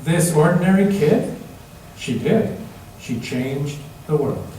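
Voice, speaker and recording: Slow-paced man reading to children, echoey room. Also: fairly high pitch, animated, mellow. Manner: interactive, repeats children's words and asks them simple questions. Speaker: children's story reader at a church service. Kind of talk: storybook read-aloud to young children. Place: large echoey room, church. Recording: echoey room, distant mic, faint noise.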